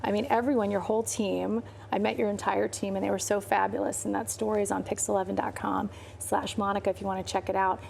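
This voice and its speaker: Gravelly-voiced woman host, upbeat and chatty, little bit of background hiss. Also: medium pitch, level tone, darkish tone. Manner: enthusiastic, quick to laugh and praise. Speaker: podcast host. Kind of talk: casual interview chat.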